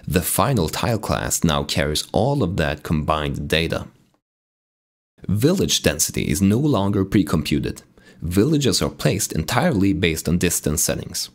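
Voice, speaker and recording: A man with a raspy voice from being sick, calmly explaining code in a tutorial walkthrough. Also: deep voice, unhurried. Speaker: game dev tutorial host. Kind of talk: screen-recorded coding tutorial narration.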